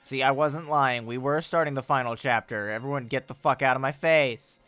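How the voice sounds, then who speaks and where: A male streamer chatting casually while he plays, swinging into over-the-top complaints. His voice is bright and forward, and faint game music runs underneath. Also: mid-range voice, moderate pace, clear, resonant tone. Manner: joking, melodramatic complaints. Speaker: streamer playing a video game.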